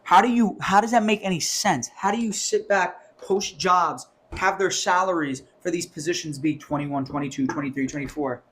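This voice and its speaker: A man's voice: sits high, normal speed, few pauses, a bit bright, a little hiss or hum. A young man from New York on a casual podcast, ranting animatedly about money and swearing often. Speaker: podcast co-host.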